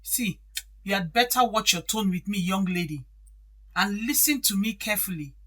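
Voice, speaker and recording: A woman's voice: mid-range voice, animated, moderate pace, sharp tone. An actress playing a domineering, impatient middle-aged stepmother in an audio drama, stern and admonishing. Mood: indignant, scolding.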